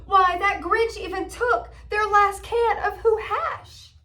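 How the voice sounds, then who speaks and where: A woman reading a children's story aloud in an expressive, dramatic way with deliberate phrasing. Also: very high-pitched. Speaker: storybook reader.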